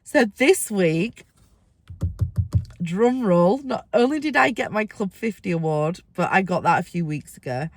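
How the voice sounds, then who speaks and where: Woman vlogger, under the weather with a squeaky voice, casual and chatty, bursts of excitement. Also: measured pace, slight background noise. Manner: chatty, excitable, rambling asides. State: ill, squeaky voice. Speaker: weight-loss vlogger. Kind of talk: casual vlog to camera.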